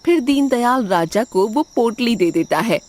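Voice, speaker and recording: Woman storyteller, highish voice, expressive, music underneath. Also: moderate pace. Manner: clear, storybook telling. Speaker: narrator. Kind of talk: scripted storytelling narration. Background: background music.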